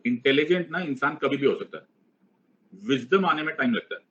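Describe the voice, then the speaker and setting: Teasing Hindi-English teacher, dull audio. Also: male voice, mid-range voice, expressive, a little fast, fairly bright tone. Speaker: online exam-prep teacher. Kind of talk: live online class lecture.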